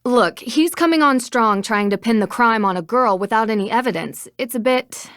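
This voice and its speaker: A woman voicing an inquisitive young American detective in a video game, speaking in a measured, thoughtful way. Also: a little high, animated, fairly bright tone, no room sound, studio quality. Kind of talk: video game dialogue acting.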